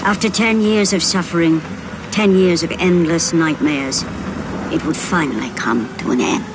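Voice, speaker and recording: A woman's voice: lowish voice, normal speed, noisy background, close to an everyday voice. Woman as grave epic storyteller. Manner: solemn, portentous storytelling. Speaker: narrator. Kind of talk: scripted dramatic narration for a fantasy video game. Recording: music behind the narration.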